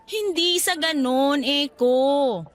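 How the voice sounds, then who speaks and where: A woman's voice: high voice, takes it slowly, faint music behind. A woman playing an earnest, anxious young career woman in a radio romance drama, speaking in a pleading, emotional way.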